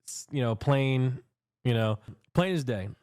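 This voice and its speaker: A man reacting live on stream, stopping and restarting as he asks questions and speaks to his chat. His voice is mid-pitched. The sound is dry and close. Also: lively intonation, a little mellow, smooth, dry recording. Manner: halting, stumbling questions, addresses chat. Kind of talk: casual livestream reaction.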